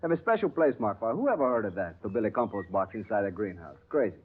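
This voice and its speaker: Gossipy gardener, talks fast, voice jumps all over, tinny old radio sound. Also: male voice, on the low side, breathy edge. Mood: indignant. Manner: broken English, animated, gossipy. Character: chatty male gardener, gossipy and excitable. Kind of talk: 1950s radio drama acting.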